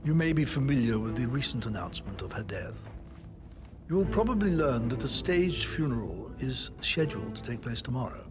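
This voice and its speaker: An actor playing a discreet, deferential English butler in a game, measured, grave and very formal. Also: male voice, medium pitch, sing-song, over music, natural voice.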